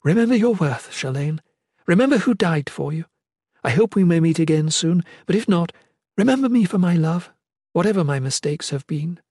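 A grieving but resolute noblewoman , acted out by a male narrator, pitched higher than his usual talk. She is solemn and earnest, a mother in a fantasy audiobook, recorded very dry. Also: expressive, moderate pace, dark tone, slightly rough, no echo. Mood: tender, grieving.

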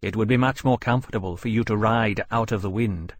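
A man's voice: on the low side, fairly quick, close to an everyday voice. A man voicing a solicitous, sensible dragon companion in an audiobook, speaking earnestly and attentively. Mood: concerned.

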